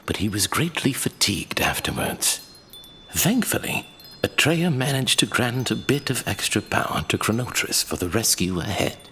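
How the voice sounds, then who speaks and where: A man plays a learned fantasy archivist with a fairly low voice that swings dramatically up and down. He savors his storytelling like a stage performer, with faint noise behind him. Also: big pitch swings, moderate pace, sharp tone. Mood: solemn storytelling. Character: scholarly male Lord Archivist, fond of drink, recounting sad legends. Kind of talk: fantasy audio drama acting.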